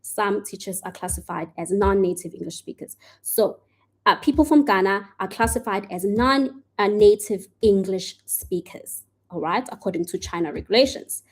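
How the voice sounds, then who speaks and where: A woman's voice: mid-range voice, lively intonation, husky. A woman hosting a live stream, answering viewer questions in a casual way and often checking in with "all right?" and "okay?". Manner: says she tends to speak too fast.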